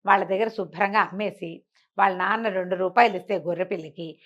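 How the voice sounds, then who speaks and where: A woman's voice: mid-range voice, fast, fairly bright tone, muffled recording. A woman telling folk tales conversationally, explaining as she goes and posing rhetorical questions. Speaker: storyteller.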